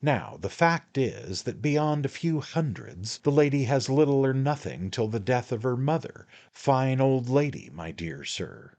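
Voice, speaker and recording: A man doing a fussy little storybook attorney who wheedles and flatters at every turn. The voice rides high and lively, swooping up as he coaxes. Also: measured pace, few pauses. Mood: coaxing. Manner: ingratiating, wheedling, repeats "my dear sir".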